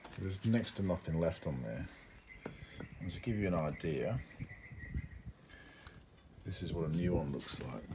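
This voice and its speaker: A man's voice: sits low, background noise. A man in his forties, a plumber, explaining his work step by step in a casual, plain-spoken vlog, recorded on a phone at arm's length outdoors. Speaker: plumber presenting a how-to video. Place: outdoors in a residential yard by a brick wall.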